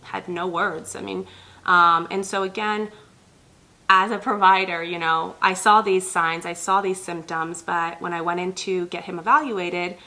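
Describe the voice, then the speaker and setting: Female host, 30s, from Ethiopia; earnest direct-to-camera talk, low-ish bright tone with some fry. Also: steady tone, a little slow, low-quality recording. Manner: earnest, many ums, speaks directly to viewers. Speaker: pediatric nurse practitioner and channel host.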